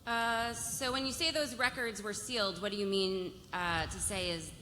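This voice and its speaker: Woman as bright, cutting cross-examining lawyer, hall reverb. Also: medium pitch, steady tone, moderate pace, close to an everyday voice. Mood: scornful. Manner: probing, pointed. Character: sharp, relentless female opposing lawyer cross-examining a witness. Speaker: actor. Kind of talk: live table read of a TV legal drama. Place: festival stage in a large hall. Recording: hall reverb with faint noise, live stage.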